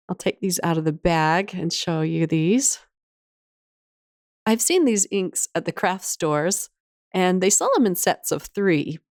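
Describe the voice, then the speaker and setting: A woman's voice: lowish voice, darkish tone, no room sound, studio quality. Woman with a slight cold, chatty crafter. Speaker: craft channel host. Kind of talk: casual craft tutorial voice-over.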